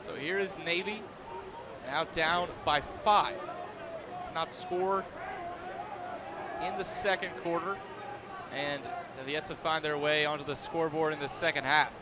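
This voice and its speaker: A young American man calling a live lacrosse game as play-by-play announcer, with an energetic announcer's delivery. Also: a little high, a little slow, long pauses. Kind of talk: live lacrosse sports commentary. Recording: live game, loud crowd noise behind. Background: crowd and outdoor stadium ambience.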